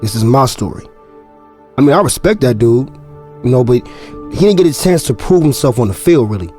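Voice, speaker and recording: A man's voice: medium pitch, lively intonation, fairly quick, dark tone, faint music behind, lightly acted. An actor playing a young football running back who narrates his own story in a reflective, wry inner monologue for an audio drama. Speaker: lead character and narrator.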